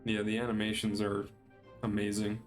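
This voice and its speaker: Low, flat-toned male streamer. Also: moderate pace. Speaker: game streamer. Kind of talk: casual reaction commentary. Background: game music.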